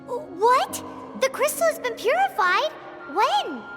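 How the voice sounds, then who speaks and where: A tiny floating fairy companion from an anime game. The voice is extremely high and squeaky, swinging widely in pitch. She is childlike, chatty and excitable, with clear music underneath. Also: takes it slowly, exaggerated voice. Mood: surprised. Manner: chatty, refers to herself by name.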